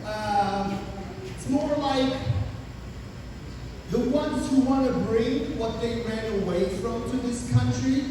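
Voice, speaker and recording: A man's voice: very high voice, slow pace, pauses often. A man from Turkey with a Turkish accent, doing a brash, profane stand-up comedy set on a club stage into a handheld mic. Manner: brash, profane, punchy delivery. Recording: echoey club from far off with noise.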